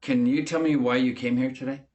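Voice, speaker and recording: Formal male doctor played by an actor in a clinical training film: mid-pitched, polite, direct questioner. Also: steady tone, brisk, darkish tone, lo-fi sound, close to an everyday voice. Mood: polite, inquiring.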